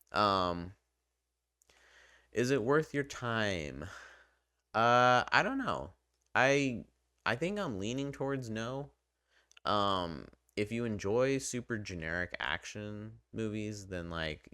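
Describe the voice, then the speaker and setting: Guy with a deep clear voice doing a chatty rambling review, kinda hedging, really dry recording. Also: sharp tone, dry recording. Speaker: video reviewer. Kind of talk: casual opinionated review commentary.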